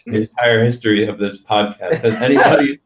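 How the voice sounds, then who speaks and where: Low-voiced wisecracking man in echoey room. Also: brisk. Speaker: podcast guest. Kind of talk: casual chat. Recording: roomy, echoey sound.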